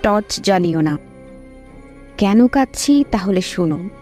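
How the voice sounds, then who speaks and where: A woman's voice: mid-range voice, animated, a little slow, a little mellow, close to an everyday voice. A woman voicing the sorrowful, pleading and commanding ghost of a young widow in a horror cartoon. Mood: commanding, eerie. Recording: long hall reverb, music behind.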